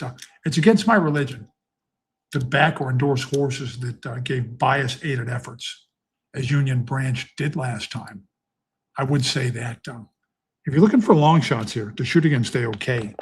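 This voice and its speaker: Very husky, chatty man handicapping horse races. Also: medium pitch. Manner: chatty, rambling, thinks aloud with many fillers. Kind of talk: casual live-stream talk. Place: basement home studio. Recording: echoey basement room.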